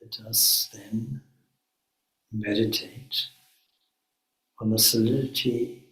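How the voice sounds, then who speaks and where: A man leading a guided meditation as a spiritual teacher, speaking calmly in measured phrases with frequent pauses. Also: lowish voice. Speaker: swami, guided meditation leader. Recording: echoey room.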